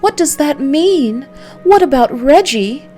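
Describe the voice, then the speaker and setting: A woman's voice: high voice, animated, slow, mellow, soft background music, voice pushed up. A woman voicing an anxious, questioning female client in a noir visual novel.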